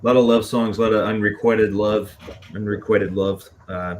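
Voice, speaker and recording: A man from Dallas chatting casually as a band musician being interviewed, halting and self-effacing. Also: on the low side, fairly even intonation. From Dallas, Texas. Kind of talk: casual chat. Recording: clearly noisy background.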